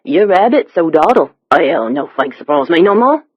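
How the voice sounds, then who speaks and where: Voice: medium pitch, sing-song, normal speed, breathy edge, dry recording, muffled recording. A narrator doing a suspicious Cockney messenger, thick slang. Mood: suspicious, gruff. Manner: terse, slangy, guarded. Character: small wiry suspicious Cockney male messenger, hard and sneering.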